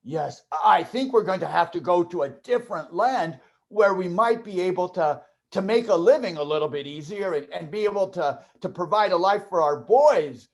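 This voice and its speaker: A man voicing a sober, caring husband and father in a puppet show, speaking in a measured, hesitant way. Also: high voice, animated. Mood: grave, thoughtful. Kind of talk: children's puppet show dialogue.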